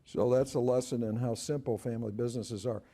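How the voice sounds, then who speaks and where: Man moderating, slightly husky and mellow. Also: medium pitch, fairly even intonation, a little fast. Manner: brief quips and prompts. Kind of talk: onstage conversation. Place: auditorium stage before an audience.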